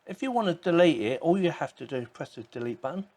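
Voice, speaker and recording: A man's voice: a little high, big pitch swings, fast, a little mellow. Casual, chatty man reviewing a gadget. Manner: informal, chatty, thinking aloud. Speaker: tech product reviewer. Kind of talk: casual unboxing and demo commentary.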